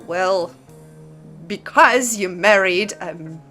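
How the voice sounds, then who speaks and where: A woman's voice: medium pitch, takes it slowly, pushed and bright. Female host, mid-range voice, conversational but theatrical book commentary, questioning the audience directly, light music underneath. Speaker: host of a disturbing literature channel. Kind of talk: casual scripted commentary to camera.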